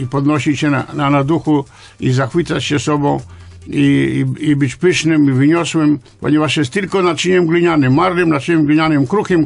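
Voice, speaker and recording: An older man, a priest, answering in a radio interview in a deliberate, halting, didactic way. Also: a little high, creaky, dry recording. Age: older, around 70. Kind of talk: interview answers, explaining church liturgy. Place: small radio studio, indoors. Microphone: studio microphone on boom arm.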